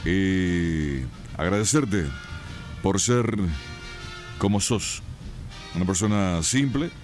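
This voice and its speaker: Male radio host, very low voice, measured delivery, faint music bed. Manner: measured, warm praising speech. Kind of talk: radio interview.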